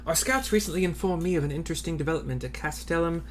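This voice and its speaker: Man reading as a formal, earnest army officer from a game. Raised pitch, measured delivery, light noise. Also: lightly acted. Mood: formal, matter-of-fact. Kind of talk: amateur read-aloud of game dialogue.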